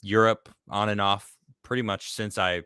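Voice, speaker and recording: A man from Chicago hosting a casual live-stream talk show. He laughs often and reads viewer comments aloud in a lively voice. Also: fairly low pitch, normal speed, clear, resonant tone, no room sound, clean studio sound. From Chicago, United States.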